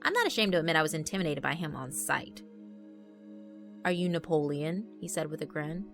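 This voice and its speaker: A woman narrates a first-person story in a wry, conversational, expressive way. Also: low voice, quick pace, vocal fry. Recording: background music under the voice. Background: background music.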